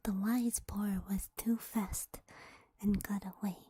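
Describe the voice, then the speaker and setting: A woman streamer telling a story in a soft unvoiced whisper, playful and teasing, with giggly asides to her chat. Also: medium pitch, fairly even intonation, takes it slowly, background noise.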